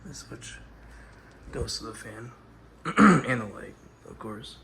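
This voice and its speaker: A man with a cold and a raspy voice, rambling and apologizing as he shows the rooms of his house in a casual home tour, sometimes dropping to a near whisper. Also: medium pitch, lively intonation, takes it slowly, noisy background. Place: inside a house, moving from room to room.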